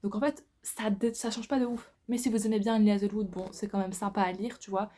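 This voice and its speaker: A woman in her twenties talks as a book vlogger in a soft-edged, mellow voice at a medium pitch. Her delivery is a casual, informal chat to the viewer, loose and full of slang. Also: fairly even intonation, a little fast. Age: 20s.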